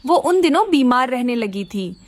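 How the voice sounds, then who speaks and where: A woman's voice: high voice, sing-song, moderate pace, dry recording. A woman narrating a scripted children's story.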